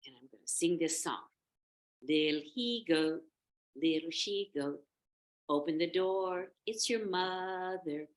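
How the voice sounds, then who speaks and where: Storybook nanny goat, female, motherly and careful, done by a woman; singsong instructions, lively intonation. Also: low voice, normal speed. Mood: fond instructing.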